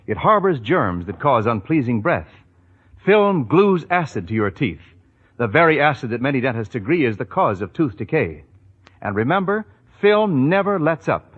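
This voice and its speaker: A man's voice: mid-range voice, narrow, tinny audio. A man reading a 1940s radio commercial as announcer, emphatic and persuasive. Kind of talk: 1940s radio advertising pitch. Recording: thin, raspy old radio recording.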